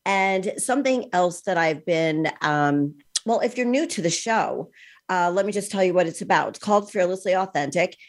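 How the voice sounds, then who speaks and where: A woman's voice: lowish voice, moderate pace. A woman in her sixties hosting a podcast interview. She is chatty and enthusiastic and drops in ums as she talks. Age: 60s. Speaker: podcast host.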